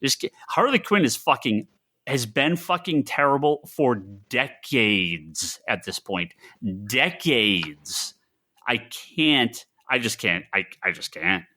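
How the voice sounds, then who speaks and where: Male podcast co-host, forceful bright tone, exasperated ranter, casual banter with repetition and profanity. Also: mid-range voice, big pitch swings, slow pace.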